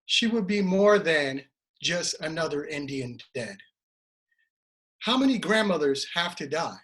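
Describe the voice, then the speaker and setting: A man's voice: on the high side, animated, a little slow, a bit bright. A man reading a memorial piece as an actor, in a solemn, rhetorical, dramatic style.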